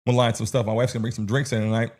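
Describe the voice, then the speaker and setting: A man's voice: medium pitch, little pitch movement, very fast, a little mellow. A man hosting a sports livestream, chatting casually with viewers in slang, cursing and repeating his phrases.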